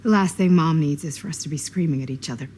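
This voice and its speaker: An actress playing a worn-down young American big sister in a video game drama, talking in a wry, sardonic way. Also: female voice, low voice, fast, mellow, a little hiss or hum, lightly acted. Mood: apologetic. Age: young adult.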